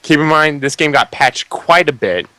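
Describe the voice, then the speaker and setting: A man's voice: a little high, lively intonation, normal speed, sharp tone. A man hosting a podcast, chatting casually about video games in a blunt, sarcastic and critical way. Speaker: podcast host. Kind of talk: casual video game review chat.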